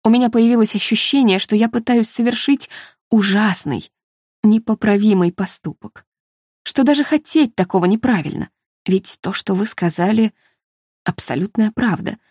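A woman's voice: mid-range voice, moderate pace, a little mellow, breathy edge, no echo, clean studio sound, natural voice. A woman narrator voicing an earnest, troubled woman who speaks confessionally in a novel.

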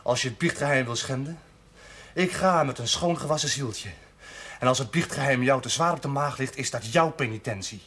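A man's voice: medium pitch, normal speed, fairly bright tone, breathy edge. An actor playing a bitter, tormented young male sinner in a television drama, sarcastic and desperate, swinging from muttering to outbursts. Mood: cynical, subdued. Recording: noisy 1970s TV soundtrack.

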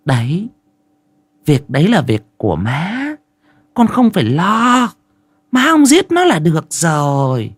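A man's voice: fairly high pitch, wildly swooping pitch, slow, pauses often, slightly rough, dry recording, voice pushed up, full character voice. A man voicing a stern, controlling older mother of a rich household in an audio story, brusque and dismissive.

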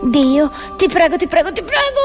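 A woman's voice: very high-pitched, big pitch swings, normal speed, over music, pitched above the performer's own voice, heavily acted. A woman voicing an agitated, pleading rebel abbess in satirical puppet theatre, wailing melodramatically. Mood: desperate pleading.

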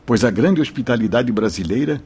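An actor playing a benevolent male spirit mentor in a Brazilian radio drama, speaking formally in measured declamation with a Brazilian accent. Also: medium pitch, animated, darkish tone, breathy edge. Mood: grave, instructive. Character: Brother Miguel, calm benevolent spirit mentor recounting souls' progress.

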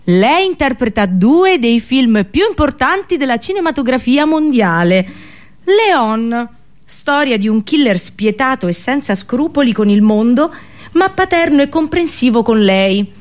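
A woman's voice: high voice, sing-song, unhurried. A woman performing a comic theatrical monologue for radio, colloquial and sarcastic, full of exaggerated rhetorical questions.

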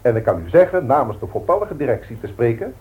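An actor with a Dutch accent playing a formal, long-winded company director in a 1960s radio drama, speaking in measured, pompous speeches. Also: male voice, medium pitch, sing-song, lightly acted. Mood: ceremonious. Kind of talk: 1960s radio drama acting, boardroom address. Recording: thin phone-like old radio recording.

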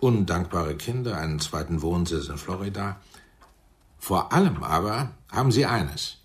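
A shrewd, low-voiced American showbiz manager in a radio drama. He is smooth and unctuous, weighing his words with deliberate hesitations and a show of regret. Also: expressive. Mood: wry, confiding. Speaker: actor in a radio play. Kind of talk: German radio drama acting.

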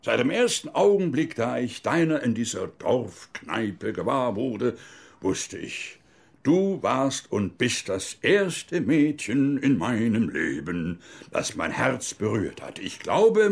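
An older man, an actor playing an embittered, stiff old army major in a radio play, with deliberate, biting delivery. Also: mid-range voice, big pitch swings, vocal fry, breathy edge, exaggerated voice. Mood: mocking, bitter. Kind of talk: radio drama dialogue, letter reading.

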